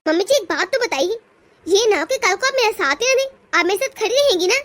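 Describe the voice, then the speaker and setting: Lady doing a feisty cartoon bahu, sharp and defiant, really high-pitched. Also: expressive, quick pace. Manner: defiant, scolding. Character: bold young daughter-in-law, feisty and protective.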